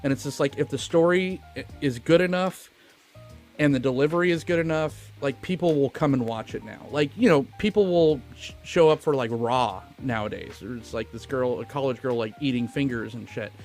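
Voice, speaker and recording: A man hosting a podcast, talking casually and profanely about a film in an animated, informal way. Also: medium pitch, a little fast, soft background music. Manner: animated, informal retelling with acted-out asides. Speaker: podcast host.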